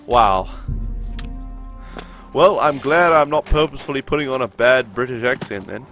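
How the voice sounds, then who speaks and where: A man's voice: medium pitch, normal speed, music in the background. A young man from New Zealand with a New Zealand accent, chatting with his viewers while he plays a game. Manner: chatty, reads chat aloud and answers it. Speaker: streamer. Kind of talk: live game stream commentary.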